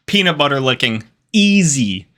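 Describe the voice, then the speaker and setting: A man's voice: mid-range voice, moderate pace, a crowd in the background. A man hosting a reaction video, giving casual, slangy commentary full of exclamations. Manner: slangy, animated exclamations, frequent laughing asides.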